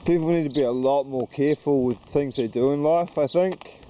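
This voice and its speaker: A man's voice: fairly high pitch, warm tone, dull audio, slight background noise. A man with a New Zealand accent rambling hesitantly while walking around town outdoors, vlogging to the camera. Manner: hesitant, halting, slow and wandering. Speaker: YouTuber. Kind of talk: rambling walk-and-talk vlog.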